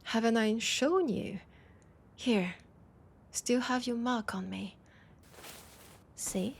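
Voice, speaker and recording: A woman with a French accent playing a teasing, nostalgic, centuries-old vampire in an intimate audio roleplay, her breathy voice often dropping to a whisper. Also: fairly high pitch, slow pace, slight background noise. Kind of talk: intimate audio roleplay, one-sided dialogue.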